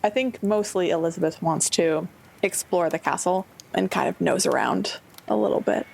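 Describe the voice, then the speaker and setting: A woman's voice: on the low side, normal speed, creaky, a little mellow, breathy edge. A woman playing a tabletop roleplaying game, casually and tentatively working out what her character intends.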